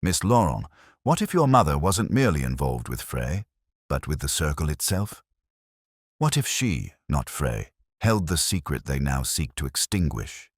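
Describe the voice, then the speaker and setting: A man voices a brooding, commanding detective in an audiobook. The voice is extremely deep and deliberate, with cryptic phrasing and a dry, close sound. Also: very animated, slightly rough, dry recording, heavily acted. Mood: probing. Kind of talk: audiobook character reading.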